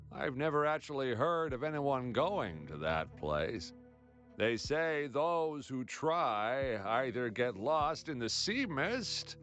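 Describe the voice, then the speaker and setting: A laid-back, chatty local in a video game. He is helpful and animated, with a lively melody and a slow, unhurried delivery over faint music. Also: medium pitch. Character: easygoing, chatty male local townsman, helpful storyteller.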